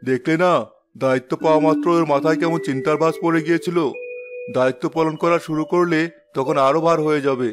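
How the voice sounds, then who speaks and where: A man playing an anxious, protective middle-aged king in an animated fairy tale, speaking formally and with authority. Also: on the high side, fast, close to an everyday voice. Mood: worried.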